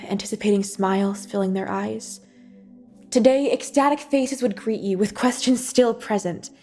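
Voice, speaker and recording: A woman's voice: mid-range voice, darkish tone. Woman reciting devotional spoken word over music. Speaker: church worship speaker. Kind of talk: scripted spoken-word monologue, rhymed devotional poetry. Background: music behind the voice.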